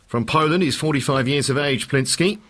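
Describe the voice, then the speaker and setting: A man's voice: mid-range voice, a little fast, a bit bright. A man doing play-by-play poker commentary, setting up hands and player background. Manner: sets up hands and gives player facts.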